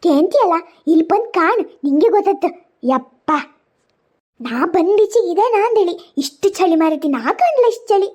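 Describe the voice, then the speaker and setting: Whiny old cartoon granny, really high voice, grumbly n muddled, kundapura kannada accent. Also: animated, moderate pace, darkish tone. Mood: whiny complaining. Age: older. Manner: wailing, repetitive exclamations. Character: grumbling elderly mother, shivering and muddled, complaining of cold. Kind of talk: cartoon comedy dialogue.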